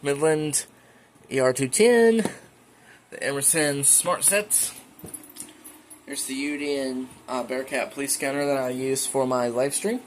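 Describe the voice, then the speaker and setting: A man hosting a casual home show-and-tell video, speaking informally and a little haltingly with enthusiastic asides. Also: medium pitch, slight background noise. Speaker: hobbyist video creator and host. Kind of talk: casual show-and-tell narration of a radio collection. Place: indoors at home.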